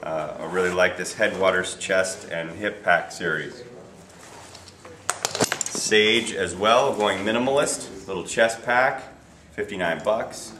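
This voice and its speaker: A man's voice: low-pitched, slow. An American man presenting products informally in a shop, unscripted, plugging them in short clipped phrases. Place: small retail shop, indoors. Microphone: camera a few feet away. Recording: echoey shop, distant camera mic, faint noise.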